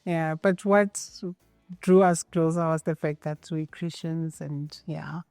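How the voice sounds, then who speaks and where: A Zimbabwean woman recalls her life in a calm, reflective documentary interview. Her voice sits low and mellow. It is recorded close and dry, and faint music plays underneath. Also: slow pace, no echo.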